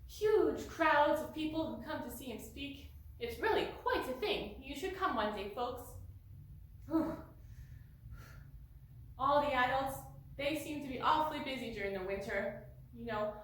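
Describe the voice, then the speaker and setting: A woman's voice: fairly high pitch, fairly bright tone. A woman playing a chatty 1890s farm girl, echoey. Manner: folksy, chatty, addresses listeners as folks, frequent sighs. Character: chatty young pioneer girl from 1890 farm family. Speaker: museum costumed interpreter. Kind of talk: living-history monologue to the camera. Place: large barn. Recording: echoey, distant mic, faint noise.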